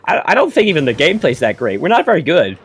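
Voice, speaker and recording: A man's voice: a little high, huge pitch swings, fast talker, slightly rough. A man co-commentating a strategy game with a friend, teasing him with dry jokes and frequent laughter. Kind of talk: casual gameplay commentary chat.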